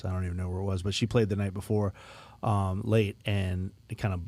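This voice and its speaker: A man hosting a casual podcast chat, telling stories with lively acted-out quotes. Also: deep voice, brisk. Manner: animated storyteller, acts out quotes. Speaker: podcast host and festival organizer. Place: small studio.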